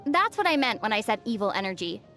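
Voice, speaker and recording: An actress playing a calm, sensible, gently teasing young traveler heroine in a video game. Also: female voice, mid-range voice, quick pace, soft background music. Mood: calm, explanatory. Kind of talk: scripted video game dialogue.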